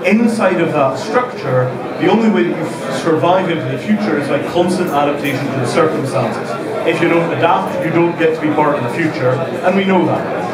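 A middle-aged man delivers an emphatic public talk into a handheld mic, building his points through repeated phrases. His voice sits a little high and bounces around a roomy hall. He is picked up from a distance, with a packed audience around him. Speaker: conference speaker. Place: dim event room with projector screen and seated audience. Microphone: handheld mic, recorded by a far camera. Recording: roomy hall audio from far off, crowd present.

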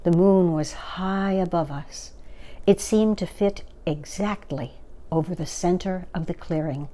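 A woman in her sixties reading a children's story aloud with gentle, measured, deliberate phrasing, recorded indoors at about arm's length. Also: on the low side. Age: 60s. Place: small furnished living room, indoors.

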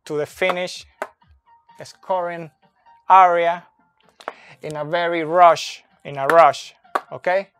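A man in his thirties whose first language is not English, explaining soccer tactics slowly at a whiteboard, recorded on a phone camera indoors. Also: on the high side, smooth, dry recording. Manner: slow, deliberate, with fillers and restarts, pointing at a board. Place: indoor room with a brick wall and whiteboard. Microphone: phone camera, close and moving.

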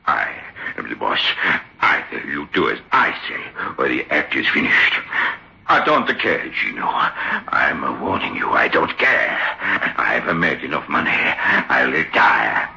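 A frantic ventriloquist, blustering with fear in vintage radio horror style. His slow, lowish voice swings widely and cuts sharply through a dull recording. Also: very animated, gravelly, sharp tone, exaggerated voice. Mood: desperate, angry. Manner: overwrought, blustering. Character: frantic male ventriloquist, frightened and domineering. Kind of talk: old-time radio horror acting.

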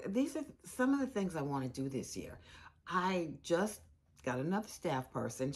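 An actress plays an older American woman who works as a law school administrator, candid and caring. Her voice is low and creaky, with lively swings in pitch. She talks emphatically and conversationally, restarting phrases as she goes, as in a dramatized first-person monologue. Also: exaggerated voice. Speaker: performer in a dramatized narrative. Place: small indoor room with bookshelves.

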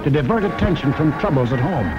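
Dramatic 1940s newsreel-style man, high-pitched and animated. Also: quick pace. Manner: dramatic, emphatic, rhetorical. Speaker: documentary narrator. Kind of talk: 1940s wartime propaganda film narration. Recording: muffled old film soundtrack, loud music.

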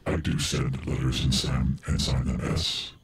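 Giant tree creature for a tabletop game: extremely low, rough husky voice, slow and solemn. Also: male voice, flat delivery, heavily acted. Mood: solemn, firm. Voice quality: very deep, gravelly. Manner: slow, weighty, terse.

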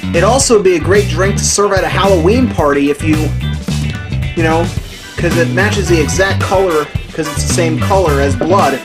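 A young man with a fairly high voice talks to the camera in a home kitchen. He hosts a casual cooking tutorial, with music playing behind him. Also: moderate pace. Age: young adult. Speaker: cooking show host. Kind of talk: casual how-to presentation to camera.

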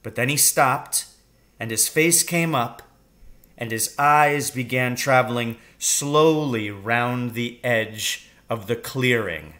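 A middle-aged man reading a children's novel aloud in a measured, suspenseful storytelling manner. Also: mid-range voice, pushed and bright. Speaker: storybook reader. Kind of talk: children's novel read aloud, first-person narration. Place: room at home with wooden ceiling.

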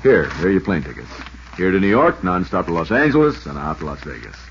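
An actor playing a brusque, hurried male insurance bureau boss with a husky voice in a 1950s radio drama. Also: low-pitched, very animated, a little hiss or hum. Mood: brusque, businesslike. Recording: dull lo-fi 1950s radio recording.

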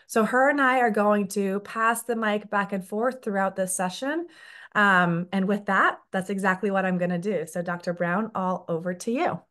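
A woman's voice: mid-range voice, normal speed, fairly bright tone. A woman in her thirties from the Netherlands, a native Dutch speaker, hosting a webinar over a video call with a polished, scripted delivery. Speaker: webinar host, company scientist.

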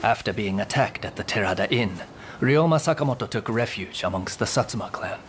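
A man narrating a historical samurai epic in a game, grave, authoritative and measured, reading from a script. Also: lowish voice, expressive, music in the background, lightly acted. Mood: solemn. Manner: solemn, measured.

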